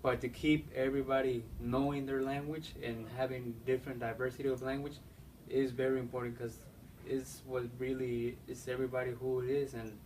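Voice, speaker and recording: A man's voice: medium pitch, flat delivery, brisk, a little mellow. A young man whose first language is Spanish, speaking English with a Spanish accent, answering interview questions hesitantly with many fillers and restarts. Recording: distant mic, faint background noise.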